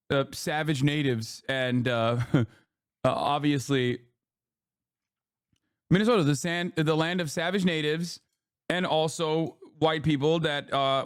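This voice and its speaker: Brief: male streamer in his 30s, profane heated commentary, raised pitch, dry close mic. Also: smooth, no room sound. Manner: profane, mocking, shouting in outrage. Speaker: streamer reacting to a podcast clip.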